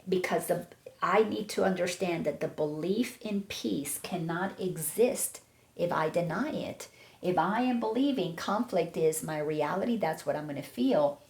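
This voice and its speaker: A woman's voice: on the low side, gravelly, a little mellow. A woman awareness coach giving an earnest, reflective spiritual teaching talk, repeating words for emphasis.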